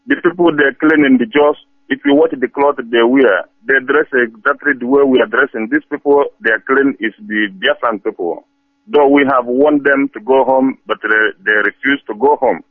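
A man with an accent from southeastern Nigeria calling in to a radio show, giving an earnest, halting and emphatic speech. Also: medium pitch, dry recording. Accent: Nigerian Igbo-region. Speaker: phone-in caller. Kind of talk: earnest political monologue. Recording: tinny narrow phone line.

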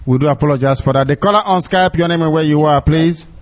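A man's voice: a little high, fast. A man with a Nigerian accent hosting a live radio phone-in, speaking courteously and firmly as he handles callers. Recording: dull lo-fi radio stream.

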